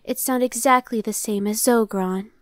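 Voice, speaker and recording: Female demon searcher, played by a woman: impatient, dismissive, conversational ASMR roleplay, slightly high pitch, very dry sound. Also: steady tone, normal speed, no echo, natural voice. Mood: sardonic. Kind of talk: ASMR roleplay, one-sided dialogue.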